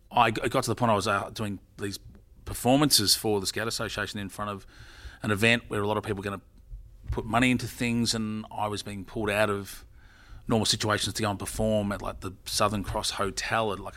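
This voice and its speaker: Interview guest: middle-aged man, lowish voice, casual rambling storyteller who restarts his sentences. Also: pushed and bright. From Australia. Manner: rambling, self-correcting storyteller.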